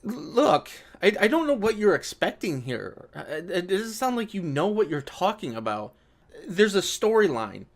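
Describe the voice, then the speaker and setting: A man with a fairly high voice delivers casual reaction commentary for an online video. He is sarcastic and profane, and comes across as exasperated while reading and responding. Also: very animated, normal speed. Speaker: video creator reacting to an article. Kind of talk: casual commentary alternating with reading an article aloud.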